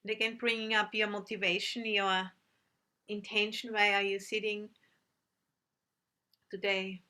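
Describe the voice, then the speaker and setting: A woman teaching a guided meditation, giving gentle instructions with long pauses between phrases. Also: mid-range voice, level tone.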